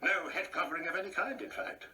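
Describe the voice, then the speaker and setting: Proud insecure old guy, radio play monologue, really sharp forceful voice, pompous, bit far from the mic w faint noise. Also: high-pitched, quick pace. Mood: rambling, defensive. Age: older. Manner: pompous, precise, theatrical, with insistent repetitions. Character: proud, insecure ageing man, bitterly reminiscing and taunting.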